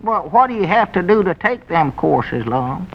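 High-voiced old country sidekick. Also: male voice, big pitch swings, moderate pace, heavily acted. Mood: curious. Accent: rural Southern US. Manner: folksy, hesitant, questioning. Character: simple, good-natured old country sidekick, male. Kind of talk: 1940s radio comedy acting. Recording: muffled vintage radio recording.

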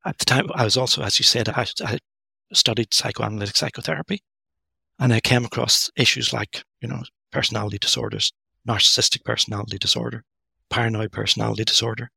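Middle-aged guy, gravelly husky voice, thinks out loud with lots of restarts. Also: mid-range voice, no echo. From Northern Ireland. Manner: thoughtful, halting, with many restarts. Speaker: guest author. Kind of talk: podcast interview.

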